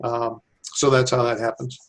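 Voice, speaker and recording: Male interviewee, engineer type. Flat intonation, mid-pitched, explaining things informally and methodically. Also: moderate pace, poor audio. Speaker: civil engineer, airport designer being interviewed.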